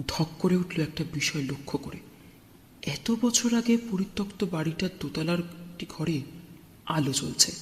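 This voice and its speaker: Male horror audiobook narrator, higher register, suspenseful and expressive, drenched in long reverb. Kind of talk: dramatic scripted horror narration.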